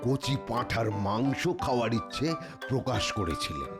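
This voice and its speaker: An obliging cartoon court underling, respectful in manner. The mid-pitched voice moves in a lively way under loud music. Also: male voice, moderate pace. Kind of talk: Bengali comedy cartoon dubbing. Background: loud background music.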